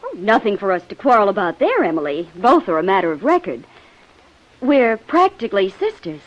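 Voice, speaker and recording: A woman's voice: mid-range voice, sing-song, fairly quick, low-quality recording. A woman playing a haughty, scheming young society wife in theatrical 1950s radio style. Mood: coolly mocking. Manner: theatrical, disdainful.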